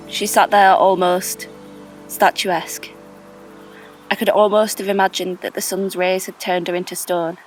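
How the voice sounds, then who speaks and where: An actress playing a reflective, observant stranger narrating an audio drama in a measured, wistful way. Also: female voice, mid-range voice, close to an everyday voice, poor audio. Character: reflective, observant stranger recalling a park bench encounter.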